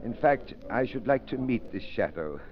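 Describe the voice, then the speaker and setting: An actor playing a learned foreign psychiatrist, genial and formal, in 1930s radio drama style. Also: male voice, medium pitch, lively intonation, close to an everyday voice. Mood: genial curiosity. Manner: genial, formal, deliberate phrasing. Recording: muffled 1930s radio recording, crowd hubbub.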